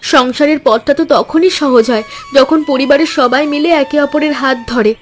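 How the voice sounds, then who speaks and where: A woman's voice: high voice, moderate pace, soft background music, natural voice. A woman voicing a devoted, hopeful village wife in a Bengali cartoon story, earnest and encouraging. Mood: earnest, reassuring. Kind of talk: Bengali animated moral story dialogue.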